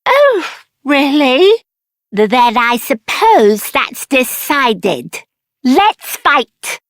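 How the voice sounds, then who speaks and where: High-pitched, cocky little gatekeeper. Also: female voice, sing-song, extremely slow, no room sound, clean studio sound, exaggerated voice. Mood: cocky, challenging. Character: plucky, boastful little gatekeeper challenging travellers to fight. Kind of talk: video game character acting.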